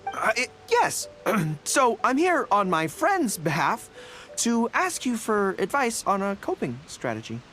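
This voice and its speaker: Earnest, animated young general. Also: male voice, high-pitched, exaggerated voice. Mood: awkward. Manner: eager, halting when embarrassed. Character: earnest, bashful young male army general. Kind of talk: video game dub dialogue. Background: music.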